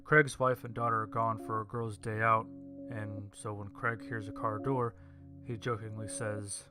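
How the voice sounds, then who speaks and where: A man's voice: on the low side, level tone, a little slow. A man reading a scripted story as its narrator. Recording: music behind the voice. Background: music.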